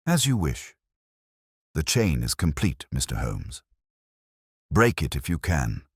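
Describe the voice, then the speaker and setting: A man's voice: rumbling low, big pitch swings, takes it slowly, husky, dry recording, clean studio sound, exaggerated voice. A man voicing a composed, defiant, menacing woman villain in a storybook, with theatrical, taunting formality. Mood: serene, defiant. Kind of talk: audiobook character dialogue.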